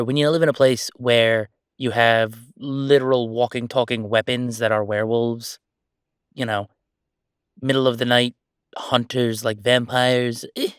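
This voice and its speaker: A man plays an excitable, joking ghost who chats like an easygoing roommate. His voice sits at a medium pitch and the sound is dry, with no room to it. The delivery is casual and playful, in the style of a roleplay audio drama. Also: smooth, dry recording, close to an everyday voice. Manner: excitable, chatty, joking, hesitating conversationally. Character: friendly male ghost roommate, playful, mischievous, chatty jokester.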